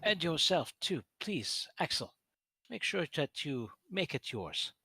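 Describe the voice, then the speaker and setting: A man playing a courteous, eloquent gentleman traveler in a tabletop game, speaking formally and wordily. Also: fairly high pitch, sing-song, takes it slowly, slightly rough, no room sound, exaggerated voice. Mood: courteous, enthusiastic. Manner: formal, polite, wordy. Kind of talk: tabletop role-play.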